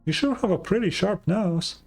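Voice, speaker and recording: A woman's voice: medium pitch, lively intonation, normal speed, gravelly, darkish tone, slightly rough, faint music behind. A woman voicing a small, curious, chatty companion in a video game, chirpy and inquisitive.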